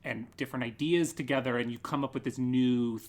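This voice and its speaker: A man's voice: medium pitch, fairly even intonation, brisk. A man chatting casually as a theatre artist interviewed on a podcast, with an enthusiastic, playful manner. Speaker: guest, theatre artist and choreographer.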